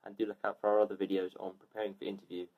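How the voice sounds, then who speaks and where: A man's voice: lowish voice, little pitch movement, rapid-fire, narrow, tinny audio. A young man presenting to camera indoors and signing off to viewers. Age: young adult. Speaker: video presenter. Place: plain room, indoors.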